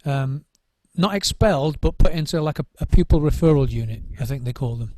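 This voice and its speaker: A middle-aged man talks over his work as a drum trainer in a thoughtful interview, his voice sitting a little high. He circles back and repeats words while he finds his thought. The sound is close and dry, with no room around it. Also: animated, fairly quick, darkish tone, dry recording. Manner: searching for words, many restarts and repeated words. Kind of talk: reflective interview answers.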